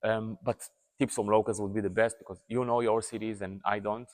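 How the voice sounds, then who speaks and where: A man's voice: on the low side, flat delivery, brisk, in a large hall. A man in his thirties with an Israeli accent, a native Hebrew speaker, appealing earnestly to viewers as an activist, restarting words and using many fillers. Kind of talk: talking to camera, appeal to viewers. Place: indoors, seated in a room. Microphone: lapel mic.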